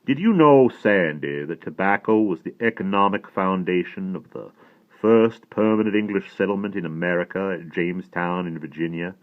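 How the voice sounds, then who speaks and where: A man acts out a learned Southern rabbi in a storybook reading, courtly, warm and confiding. He speaks with grand, deliberate formality in a low male voice, over a narrow phone-like recording. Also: lightly acted. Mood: learned, instructive. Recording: thin, phone-like narrow band.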